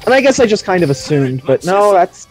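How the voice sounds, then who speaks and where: A man chatting casually on a gaming livestream in a rambling, excitable, sweary way. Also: sits high, a little fast, poor audio. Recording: music behind the voice. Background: music.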